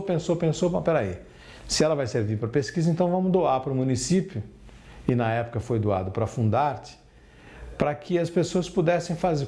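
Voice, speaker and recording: A man's voice: mid-range voice, dark tone. A man with a São Paulo state Brazilian accent, recalling things unscripted as an interviewee. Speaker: interviewee from the radio station. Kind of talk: unscripted recollection.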